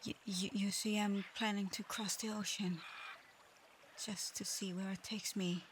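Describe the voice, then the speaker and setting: A woman plays a proud dragon girl in human form, guarded and easily flustered. She speaks formally and slowly, in a narrow, even line that can catch into shy stammers. It is an intimate ASMR roleplay, with clear ambient noise behind the voice. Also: medium pitch, natural voice. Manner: halting, stammering when flustered, otherwise measured and formal. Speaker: audio roleplay performer.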